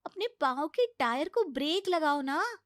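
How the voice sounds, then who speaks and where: A woman's voice: high-pitched, sing-song, measured pace, no echo, studio quality. A woman voicing a young, nagging, sarcastic daughter-in-law in a comic cartoon story, with pleading, exasperated and teasing retorts.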